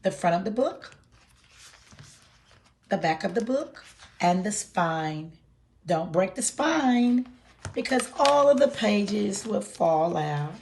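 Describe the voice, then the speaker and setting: A woman hosting a picture-book read-aloud for preschoolers, explaining things simply and deliberately to young children. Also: medium pitch, animated, a little mellow, slight background noise.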